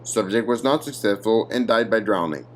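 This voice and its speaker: A man's voice: lowish voice, moderate pace. Man reading formally, noisy recording. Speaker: narrator. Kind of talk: scripted narration of a clinical horror document.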